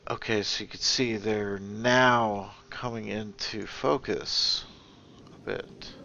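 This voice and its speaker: A man giving casual gameplay commentary, thinking aloud in a halting, musing way and often trailing off mid-thought. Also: on the low side, steady tone, creaky, sharp tone, lo-fi sound. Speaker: gaming video host.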